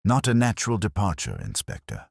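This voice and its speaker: A man's voice: lowish voice, wildly swooping pitch, a little fast, gravelly, breathy edge, no echo, voice pushed up, exaggerated voice. A man voicing a blunt, dry police doctor in a mystery story, with a clipped clinical manner. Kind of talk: mystery audiobook character reading.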